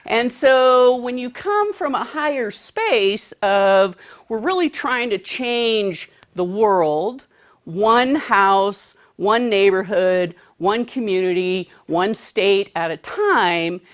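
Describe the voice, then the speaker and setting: Unhurried woman projecting to a room. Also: mid-range voice, lively intonation. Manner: projecting, asks the audience questions. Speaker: speaker. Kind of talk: seminar talk to a live audience.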